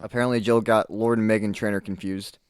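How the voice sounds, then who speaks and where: A man co-hosting a laid-back podcast, racing through his words in loose, rambling stories full of fillers. His voice is mid-pitched and recorded dry and close, with no room sound. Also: fast, clean tone, no room sound. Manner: rambling, filler-heavy, animated storyteller. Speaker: podcast co-host. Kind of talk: casual chat.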